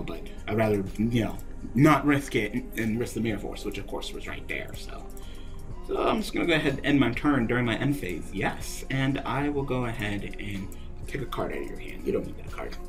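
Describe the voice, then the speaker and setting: A man's voice: lowish voice, very animated, brisk. Ranting, profane young man with faint music. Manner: ranting, profane, laughing in exasperation. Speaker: YouTube game commentator. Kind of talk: venting gameplay commentary.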